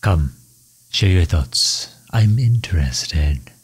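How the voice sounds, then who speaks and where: A man's voice: low voice, lively intonation, slow pace, husky, exaggerated voice. A man playing a refined, courteous villain and inventor in an intimate audio roleplay, speaking formally and solicitously, as if musing aloud. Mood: amused, coaxing. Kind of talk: intimate audio roleplay, ASMR-style acting.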